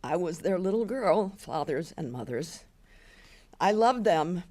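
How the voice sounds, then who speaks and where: A woman's voice: lowish voice, expressive, unhurried, a little mellow. Woman acting old woman of a novel, haunted, hesitant, defensive, broken phrasing, reluctant storyteller.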